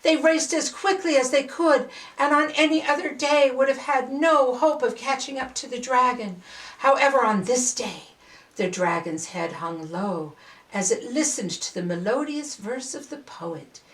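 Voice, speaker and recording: An older woman reading a children's story aloud in an expressive, deliberate way with dramatic pauses. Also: sits high, low-quality recording.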